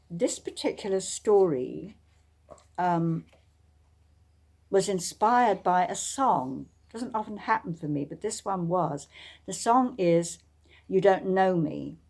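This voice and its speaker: A woman with a low-pitched voice presents her own fiction as its author. Her delivery is measured and deliberate, with frequent pauses, between informal talk and audiobook reading. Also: creaky, darkish tone.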